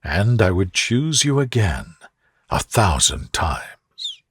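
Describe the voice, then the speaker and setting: Male reader as a devoted gentleman lover: low, husky, soft murmur, tender, for a bedtime storybook romance. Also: very animated, slow, full character voice.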